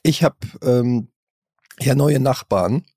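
Husky man chatting casually. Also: mid-range voice, slow pace, mellow, dry recording, studio quality. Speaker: podcast co-host. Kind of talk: casual chat, telling anecdotes.

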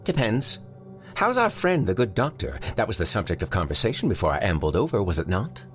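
A man doing a gruff, world-weary rabbit police chief. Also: lowish voice, sing-song, brisk, fairly bright tone, faint music behind, pitched above the performer's own voice. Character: tough middle-aged male rabbit police chief.

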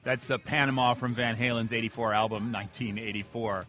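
An American man with a very bright, forward voice hosts a conversational radio interview. He is playful and informal, with music faintly behind him. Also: medium pitch, little pitch movement, brisk.

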